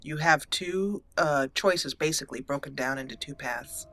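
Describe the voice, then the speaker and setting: Bright-voiced woman narrating a roleplay game. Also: deep voice, moderate pace. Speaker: gaming channel host. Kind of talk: roleplay let's play narration.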